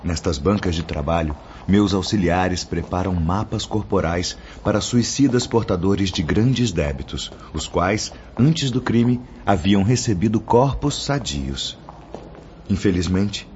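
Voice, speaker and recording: An actor playing a kindly, solemn spirit mentor in a Brazilian radio drama, speaking with formal, didactic diction and a Brazilian accent. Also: male voice, low voice, animated, measured pace, a little mellow, slight background noise. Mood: grave, admonishing. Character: Brother Clemente, kindly solemn male spirit mentor instructing apprentices. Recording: muffled audio under prominent music.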